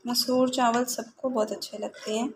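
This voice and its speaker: A woman's voice: fairly high pitch, normal speed. A woman vlogging at home, casually explaining her chores and cooking to viewers. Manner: relaxed, explanatory, addresses viewers directly. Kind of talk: casual chat narrating daily chores and cooking. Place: home kitchen, indoors. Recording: noisy home recording.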